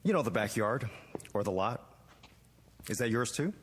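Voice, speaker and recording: An actor playing a polite, hesitant young American gardener in a radio drama. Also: male voice, medium pitch, lively intonation, normal speed, fairly bright tone, long reverb tail, a little hiss or hum, lightly acted. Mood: polite, earnest. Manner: polite, tentative.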